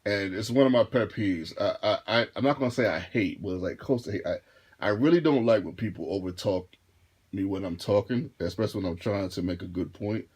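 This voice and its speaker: A deep-voiced man in his thirties telling a casual storytime to his viewers, rambling and restarting his phrases as he goes. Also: fairly quick, darkish tone, husky. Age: 30s. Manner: laid-back, rambling, repeats and restarts phrases. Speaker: vlogger and rideshare driver.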